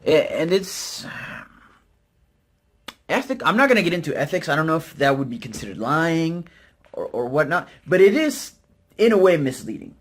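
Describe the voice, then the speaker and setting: A man's voice: a little high, big pitch swings, normal speed, pauses often, fairly bright tone. A man from Utah with an American accent talks casually and candidly as a vlogger, in a hesitant, self-deprecating, rambling way with informal slang. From Utah, United States. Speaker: vlogger, network marketer. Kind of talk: casual, candid talk to camera.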